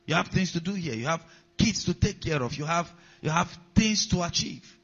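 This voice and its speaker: A man's voice: on the high side, lively intonation, normal speed, a bit bright, slightly rough. A man preaching a sermon, repeating key lines and checking in with the congregation. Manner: preaching with repetition and call-outs to the congregation. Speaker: pastor. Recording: dull lo-fi sound.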